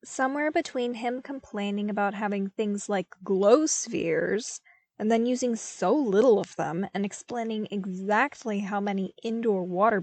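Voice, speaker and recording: A woman reading scripted story narration as an audiobook narrator, with careful, expressive delivery. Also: mid-range voice, no room sound. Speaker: podfic narrator.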